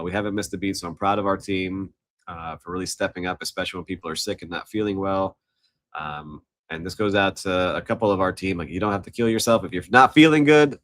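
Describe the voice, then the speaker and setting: A man's voice: sits low, fairly bright tone, no echo, clean studio sound. A man co-hosting a casual business podcast, upbeat and promotional, coming down with a cold. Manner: upbeat, promotional, joking asides. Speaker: podcast co-host. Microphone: studio mic.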